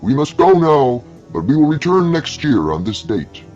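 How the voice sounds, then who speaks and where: A man's voice: fairly high pitch, sing-song, moderate pace, a little mellow, highs cut off, soft background music, pitched above the performer's own voice. A man voicing a proud showman professor presenting an alien zoo, proclaiming theatrically like a barker in a dramatised story. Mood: grand farewell.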